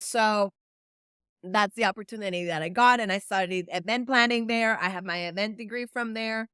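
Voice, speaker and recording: Bright, crisp Salvadoran woman coach. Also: medium pitch, no echo, studio quality. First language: Spanish. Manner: earnest, encouraging, stretches key words for emphasis. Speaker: event producer and coach. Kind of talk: direct-to-camera motivational talk.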